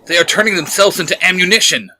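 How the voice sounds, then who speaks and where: A man's voice: high-pitched, quick pace, very noisy, close to an everyday voice. A man voicing a grim, terse male superhero team leader who mutters and growls his lines in a story.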